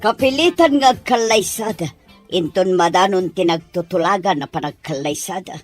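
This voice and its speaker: A woman's voice: fairly low pitch, lively intonation, normal speed, soft background music. A woman acting a stern mother who opposes her son's sweetheart in a radio drama, with a disapproving, scheming manner.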